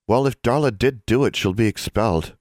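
Timid schoolgirl friend male narrator playing her, worried, pleading, lifted pitch, dry sound. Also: fairly quick, a little mellow, dry recording, natural voice. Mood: uneasy.

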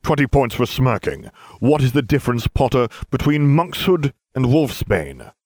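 A man's voice: medium pitch, very animated, normal speed, a little mellow, no room sound, studio quality, voice pushed up. A man voicing a cold, sneering male potions professor who talks in clipped, disdainful lines.

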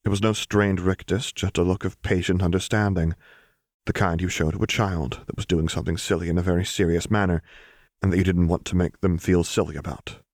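Male audiobook narrator, very deep register, dry sound, scripted fiction. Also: fairly even intonation, brisk, a little mellow, dry recording.

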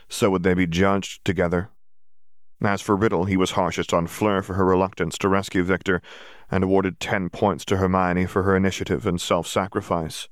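A man's voice: deep voice, dry recording, studio quality. A man reading a fantasy story aloud as scripted audiobook narration.

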